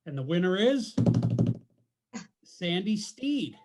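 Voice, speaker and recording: A man's voice: high-pitched, big pitch swings, takes it slowly, noisy background. A man on a video call announcing a raffle winner, playful and a little hesitant. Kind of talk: casual announcement. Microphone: computer mic on a video call.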